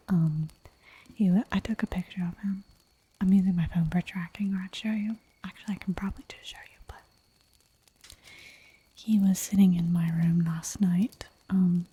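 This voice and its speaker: A woman whispering softly for an ASMR livestream, chatting in a giggly, rambling way while she draws at home. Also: lowish voice, breathy edge, low-quality recording. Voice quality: soft whisper throughout. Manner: giggly, rambling, playful asides.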